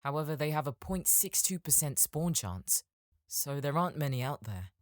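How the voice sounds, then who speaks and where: A man's voice: on the high side, sing-song, moderate pace, dry recording. Man playing a knowing young gamer mage, dry sound. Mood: informative. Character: young male fantasy gamer, knowing and eager spellcaster. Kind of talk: audiobook character acting.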